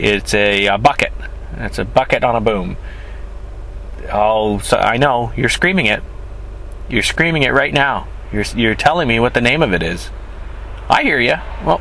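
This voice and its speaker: A man's voice: mid-range voice, bright, forceful tone. A man with a stuffy, sniffly voice chatting casually to his viewers in a rambling, self-correcting way. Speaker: film crew member. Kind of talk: casual vlog monologue. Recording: traffic noise outdoors.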